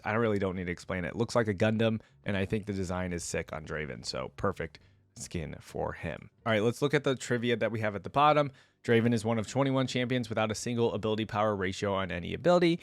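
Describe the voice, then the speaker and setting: A man's voice: fairly low pitch, dry recording. A man hosting a reaction video, commenting casually and informally as he thinks aloud.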